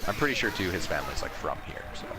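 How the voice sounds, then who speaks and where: A man's voice: low voice, very animated, fast. A young man co-hosting a podcast, chatting casually while playing a video game, rambling with lots of restarts. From Canada. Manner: rambling, with many restarts and fillers. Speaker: podcast co-host. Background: game music.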